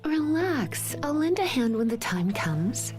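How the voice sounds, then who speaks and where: A languid, flirty female mage with a teasing, sultry manner. Her fairly high voice rises and dips freely. Music sits behind the unhurried delivery. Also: sing-song. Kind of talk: video game voice acting.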